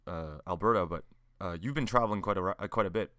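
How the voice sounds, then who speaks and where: Laid-back young-sounding man, bright and crisp. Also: deep voice, fast, no room sound, studio quality. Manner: laid-back, says "man" and "dude" often, trips over words. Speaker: podcast host. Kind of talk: casual interview chat. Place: city in Alberta, Canada.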